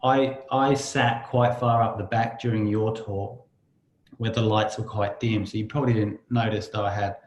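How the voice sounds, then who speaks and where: A man's voice: fairly low pitch, little pitch movement, normal speed, darkish tone. A man hosting a casual interview in a rambling, dryly joking way. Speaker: podcast host. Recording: roomy, echoey sound.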